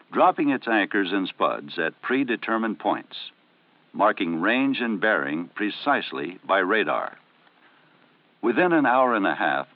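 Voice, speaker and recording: A man reading scripted training film narration in a formal, measured, explanatory way, on a 1970 film soundtrack. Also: fairly low pitch, no echo, highs cut off.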